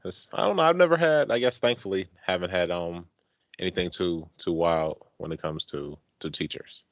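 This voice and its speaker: A man's voice: deep voice, moderate pace, no echo, dull audio. A man co-hosting a podcast in casual conversation, speaking in an enthusiastic, conversational way. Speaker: podcast co-host. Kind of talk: casual chat.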